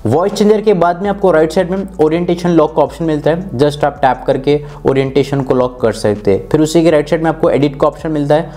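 A man's voice: a little high, brisk, few pauses, warm tone. A man in his twenties with an Indian accent, explaining phone features step by step as a tech review host. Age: 20s. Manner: instructional, step-by-step. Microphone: clip-on lapel mic.

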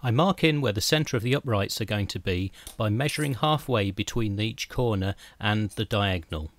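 A middle-aged man with a slightly low voice. He explains each workshop step in an even, methodical voice-over, like a careful host of his own woodworking channel. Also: moderate pace. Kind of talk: scripted how-to voice-over.

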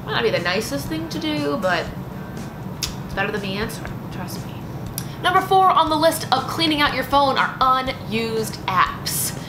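A young woman in her twenties from the United States giving casual, lively advice to camera in a small indoor room, with punchy little asides. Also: high-pitched, moderate pace. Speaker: lifestyle vlogger. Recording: roomy with background music.

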